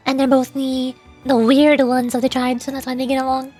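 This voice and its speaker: High-voiced woman streaming a game. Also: monotone, brisk, a little mellow, faint music behind. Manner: rambling, playful. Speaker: streamer and amateur voice actor. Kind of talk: casual game livestream commentary.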